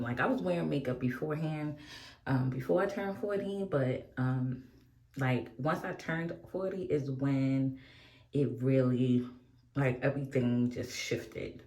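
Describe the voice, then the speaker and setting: A woman's voice: rumbling low, a little mellow. A woman in her forties chatting candidly while doing her makeup, rambling and laughing often. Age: 40s. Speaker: beauty vlogger. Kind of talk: casual get-ready-with-me chat. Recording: echoey room.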